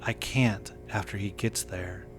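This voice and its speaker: Man voicing calm, eerie low-voiced caller. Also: a little slow, soft background music, lightly acted. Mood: calm, ominous. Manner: calm, cryptic statements.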